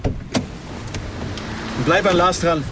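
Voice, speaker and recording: Cornered, reckless detective, noisy recording. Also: male voice, fairly high pitch, extremely slow. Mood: urgent, resigned. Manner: stammering, repeats words under pressure. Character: evasive, reckless police detective, thrill-seeking and cornered. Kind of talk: German radio drama acting. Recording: noisy car interior ambience.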